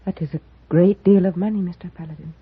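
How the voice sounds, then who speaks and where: A muffled vintage radio recording of a proud frontier wife. Her voice is husky, and her speech is formal and earnest. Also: fairly low pitch, steady tone, quick pace. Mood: moved, hesitant. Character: proud, wronged young rancher's wife, expecting. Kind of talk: 1950s radio Western acting.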